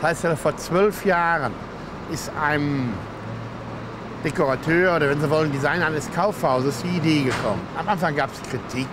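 A German man calmly explaining, with a few pauses and hesitations, in an outdoor interview. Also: fairly high pitch, noisy background. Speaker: showman, market organizer. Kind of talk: casual interview answers. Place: outdoor Christmas market. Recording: outdoor market with loud music. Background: music.

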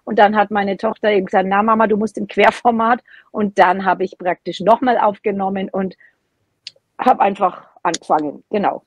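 A middle-aged woman talks as a guest on a podcast. Her voice sits at a medium pitch, and she tells her own stories casually, with a dry sound free of room echo. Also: fairly even intonation, normal speed. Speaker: podcast guest, knitting video creator. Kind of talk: casual personal storytelling.

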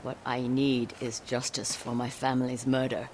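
An actress playing a stern, grieving older noblewoman of a samurai clan in a video game, speaking gravely and with command. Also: very deep voice, little pitch movement, normal speed, a little mellow, a little hiss or hum. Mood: grave, resolute. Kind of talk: dramatic video game acting.

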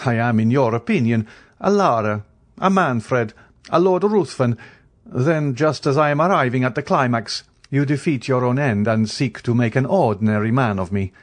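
Male narrator as aristocratic count, storybook villainous hauteur, mocking, grand declamation, raised swooping pitch. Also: normal speed, lightly acted. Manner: haughty, grandly declamatory, formal. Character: proud, theatrical aristocratic count, coldly mocking and menacing.

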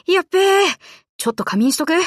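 Brash, high-pitched tomboy anime girl. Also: big pitch swings, fast talker, no echo, studio quality. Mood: breezy, casual. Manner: rough boyish slang, cocky and casual. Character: brash, tomboyish young warship girl, lively and slangy. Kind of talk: Japanese anime game voice lines.

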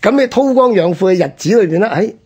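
A man with a Hong Kong Cantonese accent telling history conversationally as a radio host, explaining point by point in a husky, rough-edged voice. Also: high-pitched, animated, quick pace, a little mellow.

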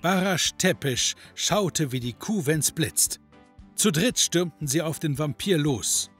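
A man narrating a comedy audio drama as a dry, wry storyteller with a deadpan delivery. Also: a little high, slow pace, soft background music.

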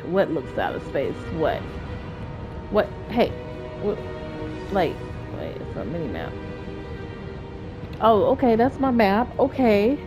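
A young woman with a stuffy head cold, chatting casually and excitedly while playing a video game. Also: medium pitch, takes it slowly, pauses often, music in the background. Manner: chatty, excitable, rambling, self-talking. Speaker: gameplay streamer. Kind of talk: casual let's-play commentary.

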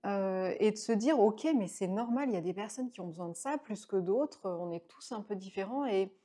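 A woman's voice: mid-range voice, normal speed, dark tone. A woman in her late thirties reviewing books to camera at home, chatty, with many fillers and restarts, on a lapel mic. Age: 30s. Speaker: book vlogger. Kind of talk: casual book review to camera. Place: small indoor room at home.

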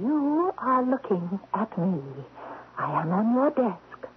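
An actress playing a seductive, commanding statuette queen of thieves in a radio drama, slyly coaxing and deliberate. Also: on the high side, big pitch swings, husky, exaggerated voice. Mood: imperious. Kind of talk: 1970s radio drama acting. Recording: muffled vintage radio recording with hiss.

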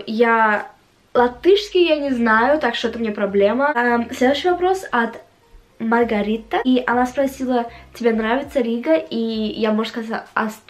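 A young woman with a fairly high-pitched voice talks casually to her camera in a small room at home. Her Russian carries an English-influenced accent. She speaks in a halting way, searching for words as she answers questions as a vlogger. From Latvia. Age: young adult.

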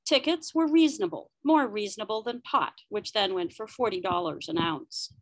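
A woman's voice: medium pitch, moderate pace, dry recording, rough recording. A woman reading her narrative poetry aloud at an online open mic.

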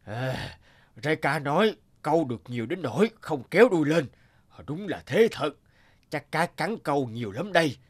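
A man's voice: on the high side, very animated, normal speed. A man voicing a greedy, smug, selfish fox who gloats and exclaims in a cartoon fable. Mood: gloating.

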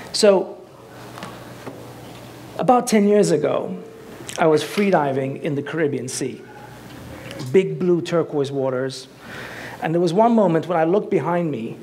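A man in his forties giving an enthusiastic, conversational talk on a dark auditorium stage with a headset mic. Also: on the high side, vocal fry, a little hiss or hum. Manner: enthusiastic, conversational, gestures with both hands. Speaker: conference speaker.

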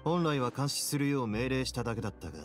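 An actor playing a composed, shrewd duke in a game, speaking in a cool, measured, authoritative way. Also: male voice, medium pitch, music in the background, close to an everyday voice. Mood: commanding. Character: composed, shrewd male duke in command of a fortress. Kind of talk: Japanese game voice acting.

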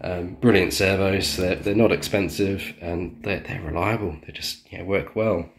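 A man's voice: sits low, steady tone, unhurried, creaky. A man casually walking through his model plane build at home, chatty with lots of ums and restarts. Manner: chatty, many ums and restarts, self-deprecating. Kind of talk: casual show-and-tell walkthrough. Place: indoors at a home dining table.

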